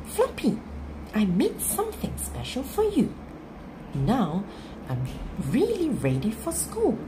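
A woman's voice: fairly high pitch, takes it slowly, pitched above the performer's own voice, exaggerated voice. A woman voicing an earnest, childlike little boy bear in a storybook. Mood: excited. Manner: childlike, expressive. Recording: clear background noise.

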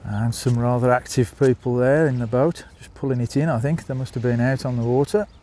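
A man with a Birmingham accent strolls through a seaside town, filming on a phone and chatting to his viewers as folks. His voice is mellow and of middling pitch. A faint outdoor hiss sits under it. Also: fairly even intonation, brisk. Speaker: vlogger. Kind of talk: walking tour narration.

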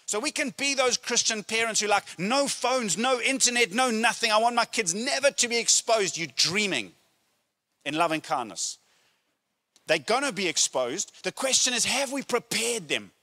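A middle-aged man, a pastor preaching a sermon with emphatic, deliberate phrasing and pauses, speaking into a handheld microphone. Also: high-pitched, animated, sharp tone. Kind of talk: sermon to a congregation. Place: church auditorium stage, indoors.

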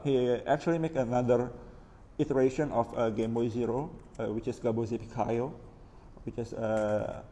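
A man's voice: medium pitch, warm tone. Muffled-toned Indonesian man in an echoing room. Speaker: maker presenting his DIY electronics build. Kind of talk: casual unscripted hands-on overview. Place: indoors at a wooden table, hands-only camera view. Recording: reverberant room with background noise.

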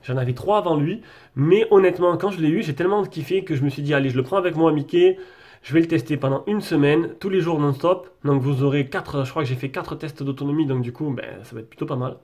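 A man's voice: on the high side, fast, darkish tone. Stuffy-nosed French man reviewing a phone. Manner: chatty, hesitant, many fillers and restarts. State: has a head cold, talks through his nose. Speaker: tech reviewer. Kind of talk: casual phone review to camera. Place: desk setup with a branded backdrop, indoors.

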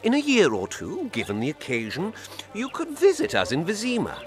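Eager alchemist with swooping intonation. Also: male voice, high-pitched, huge pitch swings, a little fast, faint music behind. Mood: eager, persuasive. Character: eager, scheming male alchemist. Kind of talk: video game voice acting.

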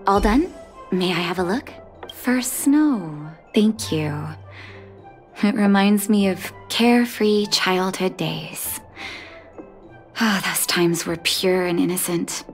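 An actress playing a gentle, composed young doctor and devoted daughter in a video game, soft-spoken and sincere. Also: female voice, medium pitch. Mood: warm, nostalgic. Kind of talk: scripted video game dialogue. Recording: music under the voice.

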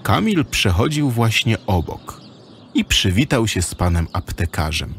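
Low, expressive male storyteller. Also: a little slow. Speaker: narrator. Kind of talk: scripted children's story narration. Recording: birdsong and ambient noise behind.

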